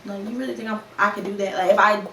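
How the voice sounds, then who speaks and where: A woman in her twenties hosting a casual solo podcast, talking candidly in a conversational way with slang and quick put-on quotes. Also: medium pitch, steady tone, a bit bright. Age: 20s. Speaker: podcast host. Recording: roomy, a bit distant from the mic, faint ambient noise.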